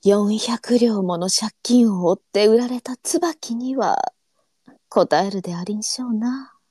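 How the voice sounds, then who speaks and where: A woman playing a tender-hearted, melancholy courtesan of old Japan in a live voice drama, speaking softly and demurely in courtesan dialect. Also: mid-range voice, measured pace, breathy edge, no room sound, clean studio sound. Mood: somber. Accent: courtesan arinsu dialect. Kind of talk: online live voice drama acting.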